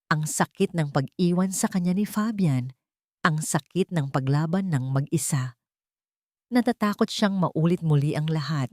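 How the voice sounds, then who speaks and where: Animated woman narrating, slightly low. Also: no room sound, studio quality. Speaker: story narrator. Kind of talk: dramatic scripted narration.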